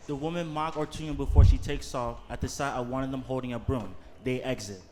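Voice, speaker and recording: A man's voice: medium pitch, steady tone, a little fast, darkish tone, slight background noise, close to an everyday voice. A man reading stage directions as the narrator of a scripted staged play. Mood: neutral.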